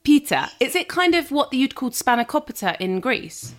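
A woman's voice: sits high, very animated, moderate pace. A woman hosting a casual chat, asking questions in a teasing, blunt way with playful swearing. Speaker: host.

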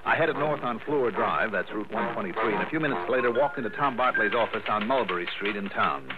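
An American actor playing a brisk, wry insurance investigator in a 1960s radio drama, narrating in a clipped, businesslike way. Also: male voice, on the low side, lively intonation, heavy background noise, lightly acted. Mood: brisk, matter-of-fact. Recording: muffled lo-fi vintage radio.

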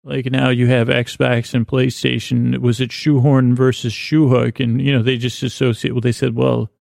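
A man hosting a podcast with a rambling, comedic bedtime monologue, halting and often interrupting himself. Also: mid-range voice, level tone, darkish tone, dry recording, studio quality. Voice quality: creaky, gravelly. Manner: halting, meandering, self-interrupting. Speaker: podcast host.

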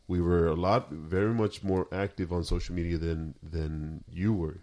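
A man from Sinaloa in Mexico, co-hosting a podcast in loud, animated casual chat and laughing often. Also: low voice, moderate pace. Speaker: podcast co-host.

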